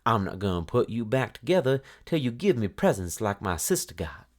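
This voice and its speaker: A man's voice: on the low side, very animated, fairly quick, voice pushed up. A man voicing a spoiled, scornful girl in a children's storybook, whining in a folksy rural dialect. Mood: greedy, defiant.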